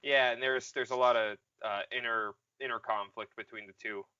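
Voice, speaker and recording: A man co-hosting a casual comedic podcast, joking, swearing and halting as he goes. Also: mid-range voice, fairly even intonation, sharp tone, clean tone, dry recording, highs cut off. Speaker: podcast host.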